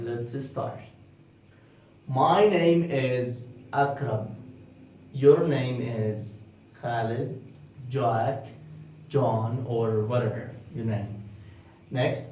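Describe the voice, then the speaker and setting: A young man with an Arabic accent teaching beginner English, repeating each model sentence slowly and clearly. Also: medium pitch, a little mellow. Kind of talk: beginner English lesson, slow repetition. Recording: very echoey room, distant from mic.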